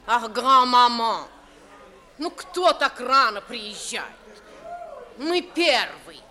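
An actress playing a haughty, sharp-tongued spinster countess, drawling with affected disdain in a reverberant 1950s radio comedy. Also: female voice, on the high side, big pitch swings, extremely slow, exaggerated voice. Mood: disdainful. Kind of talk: 1950s Russian stage comedy acting for radio. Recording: old radio play, long hall reverb.

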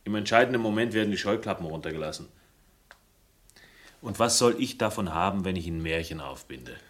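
An actor playing a sardonic, agitated Londoner caught up in a murder case, in a radio play with first-person narration. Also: male voice, sits low, animated, fairly quick. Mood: indignant, sarcastic. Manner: biting, indignant, sarcastic.

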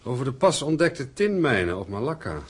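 Husky, earnest, brooding heir. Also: male voice, mid-range voice, big pitch swings, fairly quick. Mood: concerned. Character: earnest mine heir, husband, wistful and worried. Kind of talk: Dutch radio drama acting.

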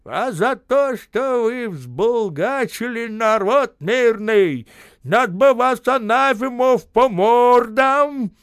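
A man voicing a sly, stern old man in a story, scolding with drawn-out conviction. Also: very high-pitched, lively intonation, clean tone, no echo, studio quality, voice pushed up, full character voice. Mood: scolding, convinced.

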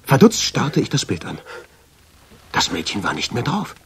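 A guilt-ridden man recounting his own tale for a radio drama. His voice sits very low. He speaks in measured, literary sentences with a brooding, wry undertone. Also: huge pitch swings, heavy background noise. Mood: uneasy, tense. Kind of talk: scripted first-person radio drama narration.

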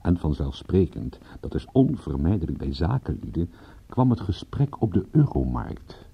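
An actor playing a wry, unflappable private detective in a radio drama, with a husky voice and a dry, sardonic manner. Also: male voice, low voice, big pitch swings, moderate pace, lo-fi sound, exaggerated voice. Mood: wry narration. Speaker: narrator and lead character. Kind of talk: radio drama acting with narration.

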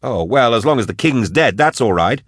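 A man voicing an outspoken, indignant male scholar who challenges and yells sarcastically in an audiobook story. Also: mid-range voice, sing-song, moderate pace, no room sound, studio quality, pitched above the performer's own voice, natural voice. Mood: furious.

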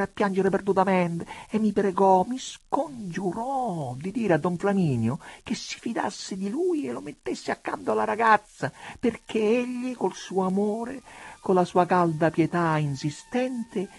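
A reader voicing an unctuous, soft-hearted elderly bishop, hesitant and sentimental, in a dramatic audiobook. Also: medium pitch, creaky, dry recording, voice pushed up. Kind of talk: dramatic audiobook character reading.

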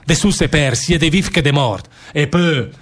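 An actor playing a bitter, weary condemned anarchist emigrant worker with a northern Italian Lombard accent, declaiming in dialect in a radio drama. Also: male voice, on the high side, moderate pace, lightly acted. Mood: earnest. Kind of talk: stage-style radio drama monologue in dialect.

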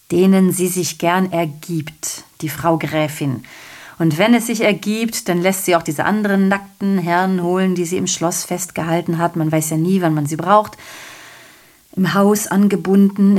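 An actress playing a garrulous, self-pitying messenger in a German radio play, giving a digressive, theatrical monologue that keeps correcting itself. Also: female voice, lowish voice, fairly even intonation, moderate pace, close to an everyday voice. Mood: gossipy. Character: garrulous, self-pitying messenger reporting a night of revelry and shootings.

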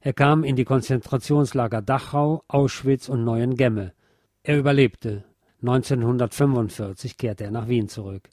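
A man's voice: mid-range voice, warm tone, no room sound, studio quality. A man reading a scripted historical text aloud as a narrator.

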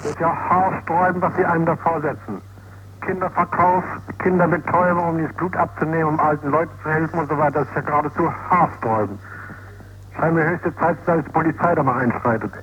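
A man's voice: on the high side, fairly even intonation, fast talker, fairly bright tone. A man phoning a radio station to complain, indignant, blustering and repeating himself. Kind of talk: telephone complaint. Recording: muffled, noisy old phone recording.

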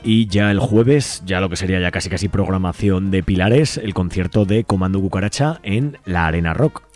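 A man's voice: low voice, normal speed, loud music behind the voice. A man from Spain, reading out events as a radio co-host in an informal, wry, casual way.

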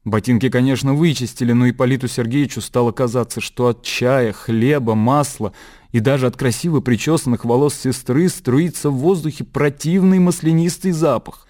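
A man narrating a literary radio drama in a measured storytelling manner. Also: medium pitch, a little mellow.

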